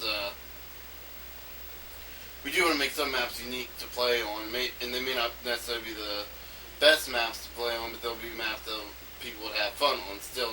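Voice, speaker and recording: A man giving an unscripted, rambling monologue as an amateur audio log, haltingly, repeating himself and trailing off mid-phrase. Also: medium pitch. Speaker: amateur game designer recording an audio log. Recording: noisy amateur recording, distant from mic.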